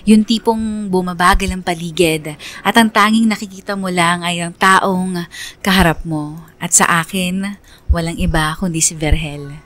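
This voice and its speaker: A woman's voice: lowish voice, fairly even intonation, moderate pace, fairly bright tone, natural voice. A woman playing a lovestruck, conflicted young woman narrating her own story in a confiding radio drama style. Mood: tender, lovestruck. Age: young adult. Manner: confiding, earnest.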